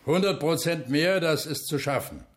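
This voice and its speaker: A man's voice: fairly high pitch, moderate pace, close to an everyday voice. An actor playing a stolid, matter-of-fact factory foreman speaking up in a radio drama.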